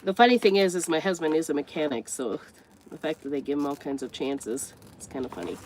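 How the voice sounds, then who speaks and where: A grandmotherly woman with a congested, stuffed-up voice talks her way through a craft project. Her voice sits a little low, and she rambles and corrects herself as she works. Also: animated, quick pace, darkish tone, slight background noise, rough recording. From United States. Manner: chatty, rambling, thinks aloud while working. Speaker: craft vlogger. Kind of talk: casual craft tutorial chat.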